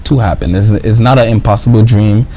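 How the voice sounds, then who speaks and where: A man's voice: on the low side, brisk, warm tone. A man answering interview questions casually and earnestly, repeating words and often adding "you know what I mean". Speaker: professional basketball player, interview guest. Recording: clearly noisy background.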